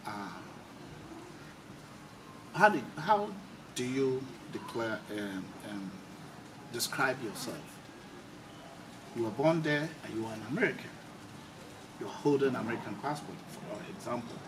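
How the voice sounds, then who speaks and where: A man working as an interviewer in a conversational style, halting and rephrasing his questions as he goes. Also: mid-range voice, lively intonation. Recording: distant mic with clear background noise.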